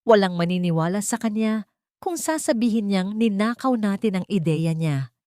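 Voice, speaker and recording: A woman with a Filipino accent voicing a scheming, imperious rich elderly matriarch with a sharp, authoritative manner in an audio drama. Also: medium pitch, animated, moderate pace, dry recording, clean studio sound, pitched above the performer's own voice, lightly acted. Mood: cold, ruthless.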